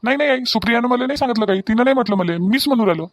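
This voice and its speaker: A man's voice: extremely high pitch, rapid-fire, no room sound. A man playing a mild, deferential village family man in a cartoon, hesitant and repetitive, with a Varhadi Marathi accent. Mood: defensive. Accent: Varhadi (Vidarbha) Marathi. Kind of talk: amateur Marathi cartoon family comedy acting.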